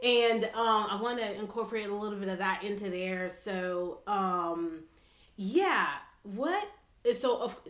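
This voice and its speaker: A woman's voice: mid-range voice, lively intonation, measured pace, a bit bright. A woman hosting a casual conversational interview, often chiming in with affirming interjections. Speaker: podcast host. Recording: echoey room.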